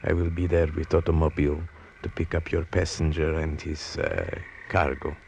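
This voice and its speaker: A cold, calmly ruthless male smuggling boss. The voice is deep and gravelly, with formal, measured, sinister phrasing. It sounds like a vintage radio drama with some background noise. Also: dull audio. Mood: smooth, businesslike. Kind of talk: old-time radio drama acting. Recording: noisy vintage radio recording.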